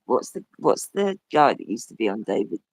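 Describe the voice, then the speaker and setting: Hoarse croaky guy rambling on a podcast, dry close sound. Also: medium pitch, big pitch swings, normal speed, no echo. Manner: rambling, trails off and restarts. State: hoarse with a cough. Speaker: podcast guest. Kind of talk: casual conversation.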